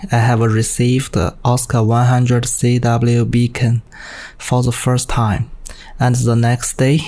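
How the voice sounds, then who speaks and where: A man's voice: mid-range voice, warm tone. A man from China with a Chinese accent, a native Chinese speaker, reading out a scripted technical presentation in a stiff, careful way. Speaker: amateur radio operator giving a technical presentation.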